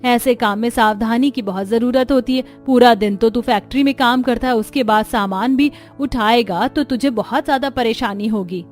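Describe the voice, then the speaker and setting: A woman's voice: fairly high pitch, moderate pace, few pauses, pitched above the performer's own voice, natural voice. A woman performs an elderly mother-in-law in a cartoon family drama. She is caring and full of worry. Faint music runs behind her voice. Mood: concerned.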